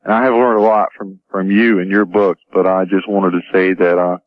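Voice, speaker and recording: A man's voice: low-pitched, level tone, a little fast, no room sound. A man from North Carolina calling a radio show, chatting casually with some hesitation. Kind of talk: casual chat. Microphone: phone call to radio show. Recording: dull lo-fi caller audio on radio.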